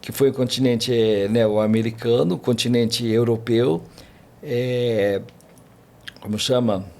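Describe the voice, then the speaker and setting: A man's voice: mid-range voice, steady tone, unhurried, gravelly, mellow. A man, a podcast guest telling travel stories in a rambling casual way, full of fillers and restarts. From Brazil. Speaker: podcast guest, tour guide. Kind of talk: casual chat, storytelling.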